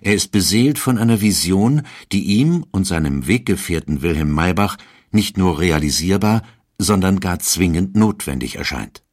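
A man narrating a scripted historical documentary in a measured storytelling manner. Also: low-pitched, expressive, darkish tone, dry recording, studio quality.

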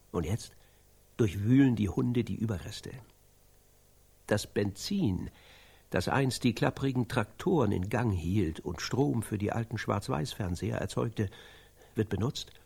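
An actor playing an intense man who grimly recounts atrocities in a radio drama, speaking in deliberate, halting phrases with a husky voice. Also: mid-range voice, a little mellow. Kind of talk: German radio drama dialogue.

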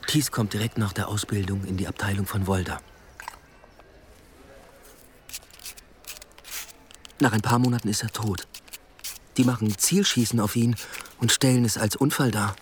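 Low-voiced, sardonic police inspector, played by a man. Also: steady tone, brisk, a little hiss or hum, lightly acted. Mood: brooding. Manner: dry, clipped, sardonic. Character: sardonic, driven male police inspector in 1920s Berlin. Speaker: police detective, lead character. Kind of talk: radio play dialogue and inner monologue. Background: music.

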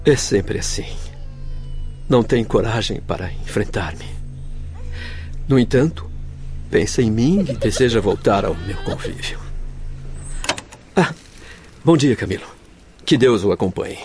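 A man's voice: lowish voice, expressive, measured pace, pauses often. A man playing a grave, sorrowful doctor and spiritual mentor in a radio drama, speaking formally and solemnly. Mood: sad, resigned. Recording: faint music behind. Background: soft background music.

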